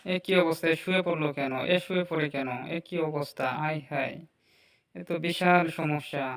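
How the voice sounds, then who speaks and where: A man's voice: on the high side, a bit bright, natural voice. A man voicing a bewildered, worried cartoon man, questioning and repeating himself. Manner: questioning, repetitive. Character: bewildered, worried man among fainting friends. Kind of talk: improvised cartoon voice-over.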